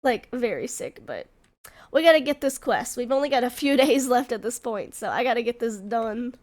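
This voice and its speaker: A young woman playing a video game and chatting to viewers, sick with a stuffy nose and a hoarse voice. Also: a little high, expressive, moderate pace, darkish tone. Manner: apologetic, bubbly chatter with laughs. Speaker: gaming YouTuber. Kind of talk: let's-play commentary.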